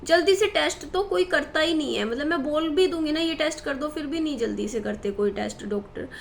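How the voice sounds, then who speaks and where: A woman's voice: sits high, fairly quick, creaky, a little hiss or hum. A woman in her thirties talking to her viewers in a worried, rambling way, with many fillers and restarts. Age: 30s. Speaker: vlogger. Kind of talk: personal talk to camera.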